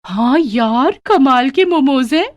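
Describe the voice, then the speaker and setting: Cartoon party friends: gushing, loud, excitable, one woman voices them. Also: high voice, takes it slowly, dry recording, pitched above the performer's own voice.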